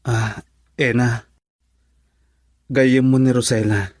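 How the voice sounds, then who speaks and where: A man plays a curious would-be suitor in a radio drama. His pitch is moderate and his delivery conversational and hopeful. The sound is dry, with no room echo. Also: fairly even intonation, slow pace, darkish tone. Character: curious man hoping to win a jilted woman.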